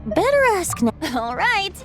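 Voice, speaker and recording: A woman's voice: extremely high pitch, very animated, unhurried, over music, heavily acted. A woman playing a knowing, playful young bard in a game, telling legends in a lilting, teasing way. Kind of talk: game voice acting, scripted storytelling.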